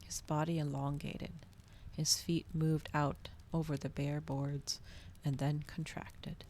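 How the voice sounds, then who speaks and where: A woman doing a soft, hushed ASMR bedtime reading on a live stream, often slipping into whispers. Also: sits low, flat delivery, slow pace, a little hiss or hum. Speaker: streamer reading a book aloud.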